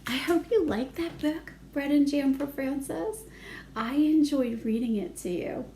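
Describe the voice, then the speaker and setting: A woman's voice: high voice, a little slow, warm tone, a little hiss or hum. A woman reading a picture book aloud to young children, with simple phrasing and asides to her listeners. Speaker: children's storytime reader.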